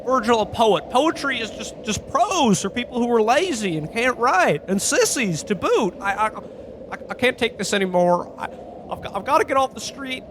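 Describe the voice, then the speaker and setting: A man's voice: very high-pitched, big pitch swings, normal speed, noisy background. A man playing a frantic, pleading character who stammers and repeats himself in a radio play parody. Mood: distraught. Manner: stammering, breathless repetition, pleading. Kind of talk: old-time radio play melodrama parody.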